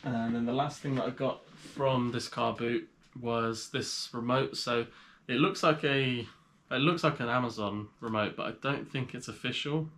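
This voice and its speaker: Nasal man with a cold. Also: mid-range voice. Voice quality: slightly nasal. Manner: relaxed, rambling, with frequent pauses. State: has a cold. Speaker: reseller vlogger. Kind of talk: casual vlog talk showing items to camera.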